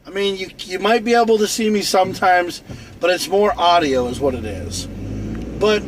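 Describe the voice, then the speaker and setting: A man's voice: high voice, expressive, moderate pace. A man in his forties from North Dakota, hosting a live stream in a rambling, repetitive casual monologue, often restarting and repeating phrases. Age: 40s. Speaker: livestream host. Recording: noisy outdoor traffic behind. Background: car and street noise.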